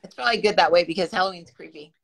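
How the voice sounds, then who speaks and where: Young female guest on a video call, fast and gravelly. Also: on the low side. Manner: chatty and quick. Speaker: guest, intuitive medium. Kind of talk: casual video call chat. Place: indoor room at home.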